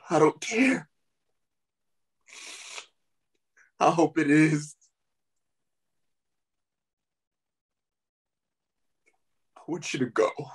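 A man acts out a gravely ill man, anguished and bitter. His voice is husky, with a weak, pleading delivery suited to intimate stage drama. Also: a little high. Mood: defiant. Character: dying man, sick, bitter, anguished and self-pitying. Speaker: actor at a table read. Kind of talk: dramatic script reading.

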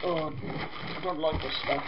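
A slow-talking British man with a fairly high, croaky voice, chatting his way through a toy review, pausing for ums and throat clearing. The sound is dull and lo-fi, caught by a camera mic in an indoor room. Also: fairly even intonation, very noisy. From Britain. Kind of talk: casual product review.

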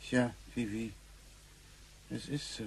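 A man's voice: mid-range voice, steady tone, slow, gravelly, slightly rough, full character voice, rough recording. A man playing an anxious, kindly grandfather from the future, flustered and polite, in a radio play. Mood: apologetic.

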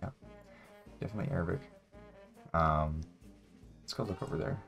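Young man, deep voice, hesitant musing, casual gameplay chat, faint music behind. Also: moderate pace, vocal fry, fairly bright tone. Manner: hesitant, musing, hums while thinking. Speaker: guest player, beginner. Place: small home room indoors.

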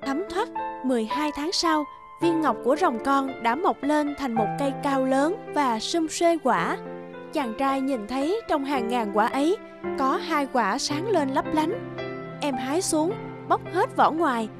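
High-voiced woman narrating a storybook. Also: lively intonation, unhurried. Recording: music bed behind voice.

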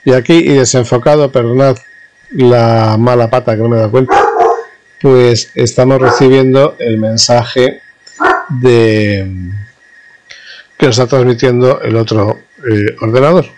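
Man giving a halting technical demonstration. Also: medium pitch, fairly even intonation, noisy background. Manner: halting, self-correcting, explanatory. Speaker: radio communications instructor. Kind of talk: informal hands-on technical demonstration narration.

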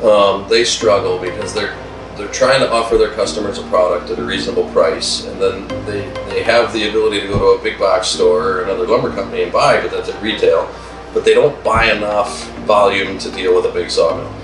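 A middle-aged man with a low voice answers interview questions about his lumber business, speaking plainly and dropping frequent "um"s. He sits a little away from the mic in a wood-paneled room, so his voice carries a roomy echo. Music plays clearly behind him. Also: steady tone, a little fast, recorded from a distance. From Wisconsin, USA. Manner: plain-spoken, frequent "um" fillers.

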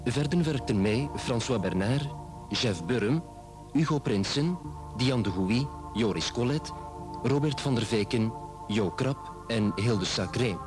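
Male announcer for radio drama credits, unhurried delivery, medium pitch, music behind. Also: expressive. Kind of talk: scripted closing credits announcement.

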